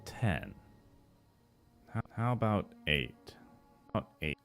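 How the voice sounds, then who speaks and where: Give this one a man acting a gloomy grown-up cartoon man who perks up only for money. The voice is low and takes its time, with a deadpan delivery. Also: slow, gravelly, faint music behind, full character voice. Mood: downbeat, mildly interested. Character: gloomy, money-minded adult male cartoon character. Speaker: voice actor. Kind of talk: animation voice-over takes, repeated lines.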